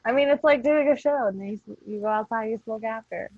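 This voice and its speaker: A woman's voice: on the high side, fast, no room sound. Woman chatting casually, dry lo-fi sound. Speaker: podcast cast member. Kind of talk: casual chat.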